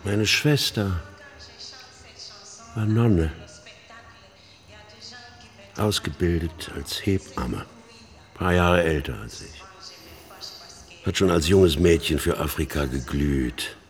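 An actor playing an embittered middle-aged man telling a haunted story in halting, emphatic phrases in a radio play. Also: deep voice. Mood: brooding, wry. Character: embittered older man haunted by his missionary sister's death. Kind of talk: dramatic monologue in a German radio play.

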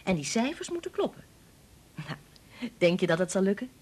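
An actress playing a brisk, capable statistician and mother in a Dutch radio play, firm and gently teasing. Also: mid-range voice, sing-song, a little hiss or hum, close to an everyday voice. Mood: explaining.

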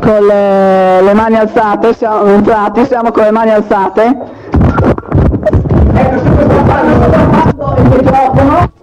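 A man's voice: sits high, fairly even intonation, unhurried. A man broadcasting an urgent live radio report, agitated and repeating his phrases. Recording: echoey muffled archive radio, music over it.

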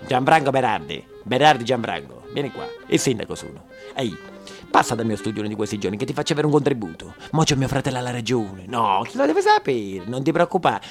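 A man's voice: medium pitch, big pitch swings, fast talker, faint music behind. A man playing a glad-handing, self-important small-town mayor in a stage monologue. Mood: patronising.

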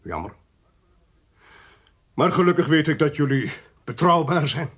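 A male actor in a Dutch radio play, voicing a cold, smooth-talking, ruthless intelligence official in a measured, condescending way. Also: a little high, animated, fairly bright tone, full character voice. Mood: confiding, grave.

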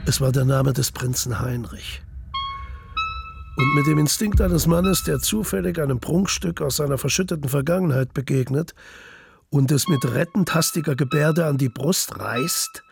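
A man with a creaky, gravelly voice, narrating a literary radio play. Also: a little high, normal speed, soft background music.

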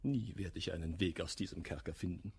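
An actor playing a jealous, hot-tempered gentleman in love, declaiming bitter rhymed verse in a classical radio comedy. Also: low-pitched, a little fast, vocal fry, slightly rough, full character voice. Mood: despairing.

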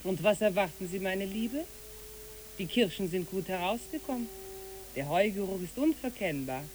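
A woman's voice: mid-range voice, expressive, moderate pace, a little mellow, a little hiss or hum, close to an everyday voice. A woman playing a genteel, rapturous lady in a literary radio play, refined and effusive.

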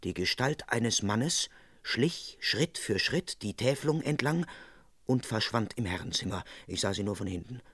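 An actor playing an indignant, self-important police constable in an old German radio crime play, earnest and dryly comic. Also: male voice, fairly low pitch, animated, normal speed, a bit bright, breathy edge, no room sound, studio quality. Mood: tense, earnest narrating.

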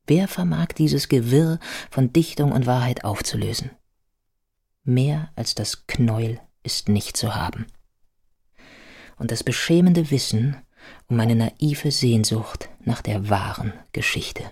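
A woman's voice: extremely low pitch, slow, a little mellow, husky, exaggerated voice. An actress playing a reflective female biographer who narrates and questions her own work in a radio drama. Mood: searching, reflective. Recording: music under the voice. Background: music.

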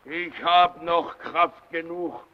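An actor playing a possessive, brooding lighthouse man in an old radio drama, halting and insistent, repeating his phrases. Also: on the high side, fairly even intonation. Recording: dull vintage radio play recording.

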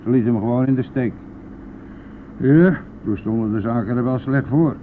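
An actor playing a boastful, brash and talkative bus driver in a radio play, with a blustery, colloquial manner. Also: male voice, medium pitch, normal speed, natural voice. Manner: blustery, colloquial, mildly swearing. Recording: narrow phone-like old radio recording, faint noise.

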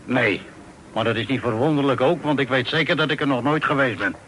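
A man's voice: medium pitch, a little fast, close to an everyday voice. A man acting a talkative, folksy Dutch sea captain of forty-five in an old radio play. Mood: storytelling. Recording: old radio recording with hiss.

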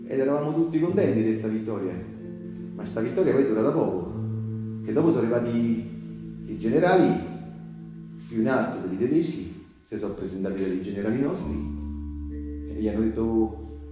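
A male actor playing an ordinary, excitable townsman who recounts a wartime battle in a stage monologue, with a central Italian accent and a colloquial, repetitive way of talking. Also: on the low side, a little fast, pauses often, close to an everyday voice. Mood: proud, excited, then somber, resigned. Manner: conversational, repetitive, with rhetorical tags. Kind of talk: live theatrical monologue in colloquial dialect-tinged speech. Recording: roomy live stage with music. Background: live guitar accompaniment.

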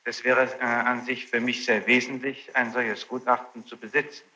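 A man's voice: medium pitch, flat delivery, moderate pace, pushed and bright. A man formally questioning a witness as a legal official, insistent and precise. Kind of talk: formal courtroom cross-examination. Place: courtroom. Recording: reverberant, muffled, noisy archival courtroom tape.

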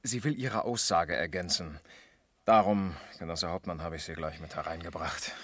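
Police lieutenant in old german radio drama, lowish voice, official, presses with questions, dry studio. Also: male voice, a bit bright, dry recording. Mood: businesslike. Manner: deliberate, probing, official. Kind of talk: 1970s German radio crime drama acting.

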